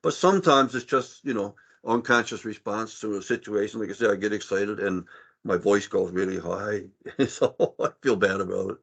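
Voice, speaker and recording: A middle-aged man with a deep, strong voice, speaking earnestly on a panel, repeating words and getting loud when excited. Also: normal speed, gravelly, breathy edge. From Canada. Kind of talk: panel discussion.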